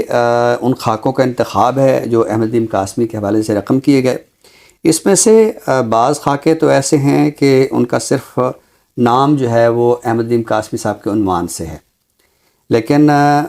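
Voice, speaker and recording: A man with a Pakistani accent presenting a literary book review in a measured, scholarly, deliberate way. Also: medium pitch, darkish tone. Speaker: literary guest presenter introducing books.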